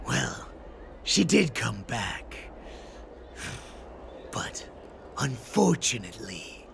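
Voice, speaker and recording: A man's voice: medium pitch, creaky, a bit bright, exaggerated voice. A man voicing a vicious, sneering young wolf-man henchman in a video game, snarling and taunting with menace. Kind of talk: dramatic game character acting.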